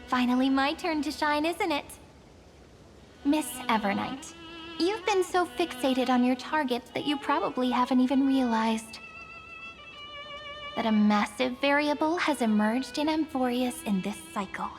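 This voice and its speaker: A woman's voice: high voice, unhurried. A woman playing a poised, playful, knowing young woman in a video game, articulate and composed. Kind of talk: video game story dub acting. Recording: music behind the voice.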